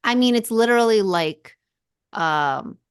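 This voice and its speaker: A woman's voice: a little high, expressive, measured pace, clear, resonant tone, no room sound, studio quality. A woman co-hosting a podcast in casual chat, laughing often and trailing off into fillers and restarts. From Spanish family, mother from southern Spain. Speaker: podcast co-host.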